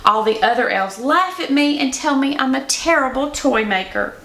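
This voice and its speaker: Woman voicing a sad little storybook elf, high-pitched. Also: lively intonation, moderate pace, fairly bright tone, roomy sound. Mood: dejected. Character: sad, kind little male elf, a toymaker's helper. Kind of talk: children's storybook read-aloud.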